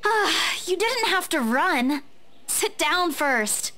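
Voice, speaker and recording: A woman's voice: very high-pitched, big pitch swings, slow pace, bright, forceful tone, heavily acted. A woman playing a kind, playful young woman in a visual novel game. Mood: caring.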